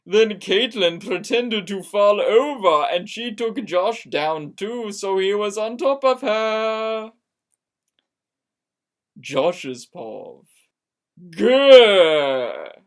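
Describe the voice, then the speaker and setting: Slow, theatrical woman reading a story. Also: medium pitch, expressive. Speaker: story reader. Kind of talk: dramatic reading of fan fiction.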